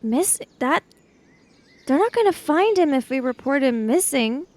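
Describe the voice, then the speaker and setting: A woman with a high voice plays a tabletop role-playing game. She improvises casually, talking in character and describing what her character does, pausing to think as she goes. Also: animated, a little mellow.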